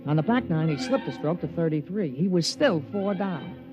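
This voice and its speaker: Folksy, higher-voiced caddy on muffled old radio played by a man. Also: animated, moderate pace, natural voice. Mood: wry storytelling. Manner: colloquial, slangy storytelling. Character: folksy, eager male golf caddy recalling a tournament. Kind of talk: 1950s radio drama acting, narration and dialogue. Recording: muffled vintage 1950s radio recording, music behind.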